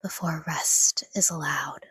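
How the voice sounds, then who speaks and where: A woman speaks in a very breathy, whispery voice, low in pitch and extremely unhurried. She guides a sleep meditation gently and soothingly, leaving long pauses between short, hushed phrases. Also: steady tone, no echo. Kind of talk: guided sleep meditation, scripted, soft-spoken.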